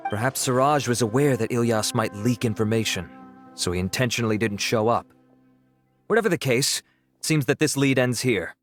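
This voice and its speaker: A man's voice: mid-range voice, normal speed, faint music behind, natural voice. A man playing a dutiful enforcement officer in a game, speaking formally, earnestly and with deference. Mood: formal, deferential. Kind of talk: game dialogue acting.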